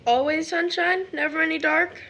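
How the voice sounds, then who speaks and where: A woman's voice: very high voice, steady tone, moderate pace, sharp tone, full character voice. A woman playing a sweet, eager little boy who speaks in childlike, wondering questions in an audio drama. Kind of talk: stage drama audio acting.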